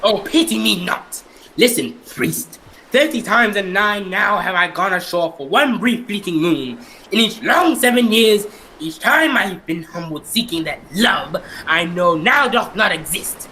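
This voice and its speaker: Young man as a high-voiced, bitter cursed captain. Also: lively intonation, unhurried, sharp tone, slight background noise, heavily acted, poor audio. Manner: declamatory, anguished, vengeful. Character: bitter, cursed, centuries-old male ghost ship captain. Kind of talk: old-time radio melodrama acting.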